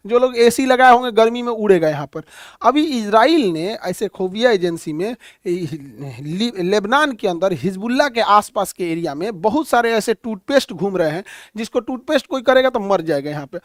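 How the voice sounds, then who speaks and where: Male coaching instructor, Bihari accent, high-pitched, informal and mocking classroom delivery, dry recording without room echo. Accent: Bihari Hindi. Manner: colloquial, blunt, mocking, rhetorical questions. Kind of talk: informal classroom lecture with comic asides.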